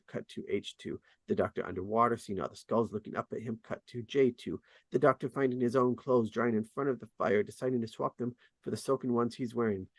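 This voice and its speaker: A man's voice: medium pitch, no echo. A man reading out scene directions as scripted narration at a drama table read. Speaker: reader of stage directions.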